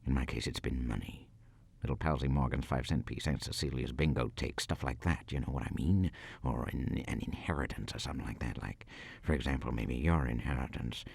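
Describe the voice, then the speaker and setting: Casting: male actor as menacing small-time killer, rock-bottom pitch, boastful and stammering, for a dramatic monologue. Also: brisk, gravelly, a little mellow, breathy edge, no room sound, heavily acted. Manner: stammering, slangy, self-justifying.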